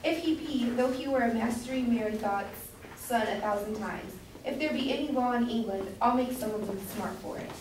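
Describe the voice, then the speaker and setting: A woman acts out a meddlesome, doting citizen's wife from an old stage comedy. She has a fairly high voice and fusses over everyone. It is heard from a distance in a very echoey room. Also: moderate pace, noisy background, lightly acted. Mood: fussing. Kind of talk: amateur staged reading of Jacobean comedy.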